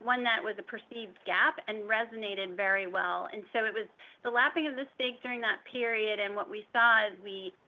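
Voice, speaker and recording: Woman, company finance executive, gravelly fry, measured corporate explanations, slightly muffled conference call. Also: mid-range voice, steady tone. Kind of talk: prepared-sounding business Q&A answers.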